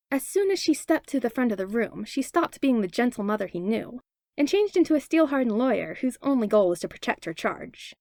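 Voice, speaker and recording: A woman's voice: a little high, vocal fry, a little mellow, no echo, clean studio sound. A woman reading a story aloud as scripted narration. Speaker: podfic reader.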